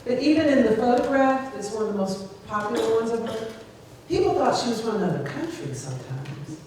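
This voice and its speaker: A woman emceeing a memorial program, speaking thoughtfully and pausing with many "um"s. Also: a little high, sing-song, darkish tone. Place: indoor gathering hall. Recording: echoey hall from far off, faint noise.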